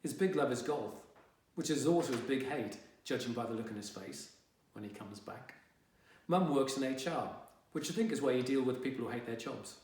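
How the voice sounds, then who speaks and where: A man's voice: mid-range voice, animated, normal speed, a little mellow, far from the mic, natural voice. Sullen teen boy narrator played by a man, wry and indignant, young adult audiobook, very echoey room. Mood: wry, uneasy. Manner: wry, dramatic, exasperated teenage grumbling. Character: sullen, indignant fifteen-year-old boy telling his story. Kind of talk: first-person young adult audiobook reading.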